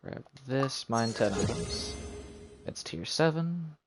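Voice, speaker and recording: A man streams a game with clear music playing behind him. His voice sits at an average male pitch, and he talks casually, thinking aloud as he plays. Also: animated, takes it slowly. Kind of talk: casual live gameplay commentary.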